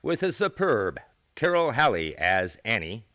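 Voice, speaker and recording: A man's voice: mid-range voice, very animated, unhurried, bright, forceful tone, slight background noise. A man announcing in scripted old-time radio style, with grandiose, theatrical showmanship. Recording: live theater show with music.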